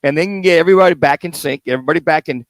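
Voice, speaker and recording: A man's voice: on the high side, very fast, no room sound. An American man co-hosting a sports radio show, giving casual, conversational analysis. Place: live remote radio broadcast at a car dealership.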